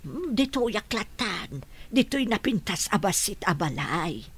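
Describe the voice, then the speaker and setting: A woman playing a forgetful, hungry old grandmother in a radio drama, speaking in halting, faltering phrases and repeating herself. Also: mid-range voice, very animated, breathy edge. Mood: confused.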